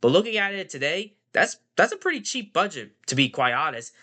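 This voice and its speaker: A man's voice: fairly high pitch, sing-song, fairly quick, sharp tone. Nasal man with a cold, rambling. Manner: rambling, repeats phrases and fillers. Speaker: podcast host. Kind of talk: casual movie review podcast.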